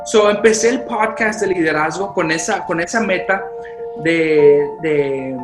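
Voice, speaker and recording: A man's voice: on the high side, unhurried. A man who grew up in Chicago with Spanish as his first language speaks as a youth pastor in a casual interview. He stumbles and restarts his sentences often, slipping in English fillers. His voice sits in a roomy space with music playing underneath. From Chicago, United States. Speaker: youth pastor and podcast guest.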